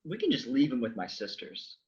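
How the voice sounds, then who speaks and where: An actor playing an awkward, sheepish Lazarus in a staged comedy reading, hesitant and dry. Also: male voice, medium pitch, wildly swooping pitch, a little fast, muffled recording. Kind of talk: staged reading of a comic play.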